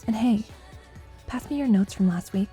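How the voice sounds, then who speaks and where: A young woman playing a competitive college student in an intimate audio roleplay, a teasing, prickly but secretly fond rival who talks haltingly. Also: medium pitch, warm tone, soft background music, natural voice. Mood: grudging, prickly. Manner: teasing, halting, flustered deflection.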